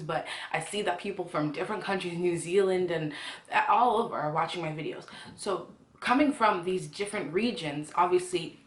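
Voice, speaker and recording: Low-voiced young woman in an echoey room. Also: fairly bright tone. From Ghana. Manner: earnest, conversational, poses questions to listeners. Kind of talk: casual devotional talk to camera.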